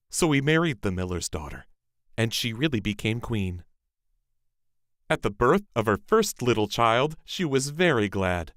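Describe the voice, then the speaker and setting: A man narrating a scripted fairy tale as a storyteller, in an expressive, measured manner. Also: medium pitch, no echo, studio quality.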